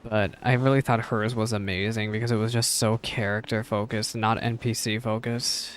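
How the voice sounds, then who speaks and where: A man's voice: on the low side, little pitch movement, moderate pace, slight background noise. A man reacting live to a video game in a casual, chatty way, thinking aloud as he plays. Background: video game music.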